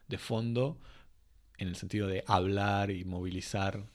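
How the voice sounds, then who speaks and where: Halting academic man asking questions. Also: on the low side, fairly even intonation. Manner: halting, many restarts, academic wording. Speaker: interviewer. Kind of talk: interview questions.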